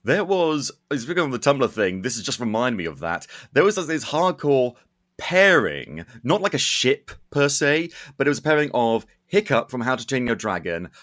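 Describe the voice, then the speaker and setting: A man's voice: medium pitch, big pitch swings, moderate pace, a bit bright. A man hosting a podcast, chatting casually and comedically through entertainment news with opinionated, wry rambling. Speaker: podcast host.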